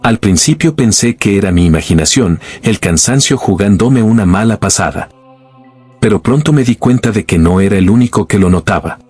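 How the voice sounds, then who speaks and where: A man reading a scripted first-person horror story in a slow, grave, suspenseful way. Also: lowish voice, animated, a little mellow, breathy edge, soft background music. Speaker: narrator.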